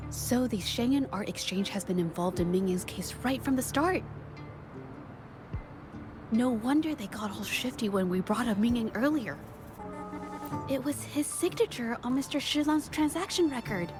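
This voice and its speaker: A woman playing a calm, observant young sleuth. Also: a little high, music in the background, close to an everyday voice. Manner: reasoning aloud, measured. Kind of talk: video game voice acting.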